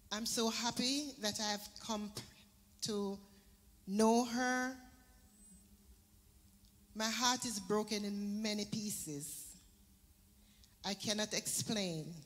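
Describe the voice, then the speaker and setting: A woman's voice: medium pitch, fairly bright tone. A middle-aged woman giving an emotional memorial tribute at a church service, speaking into a handheld mic. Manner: emotional, measured speech. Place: large church sanctuary. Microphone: handheld microphone. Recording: reverberant church hall.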